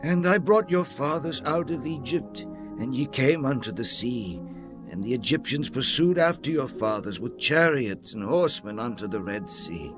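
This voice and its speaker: A man's voice: mid-range voice, lively intonation, moderate pace, natural voice. An actor playing an old, solemn Hebrew leader exhorting his people in a grand, declamatory scripture dramatization. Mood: solemn proclaiming. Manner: solemn, declamatory, emphatic swells and hushed drops. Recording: music under the voice throughout.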